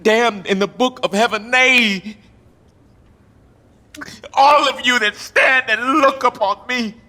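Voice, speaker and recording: A man acting a deposed English king in Shakespearean verse, grieving and bitterly ironic, with a theatrical, deliberate delivery. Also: very high-pitched, heavily acted. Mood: wounded outrage. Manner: theatrical, deliberate, swinging from hushed to declaiming. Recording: long reverberant hall.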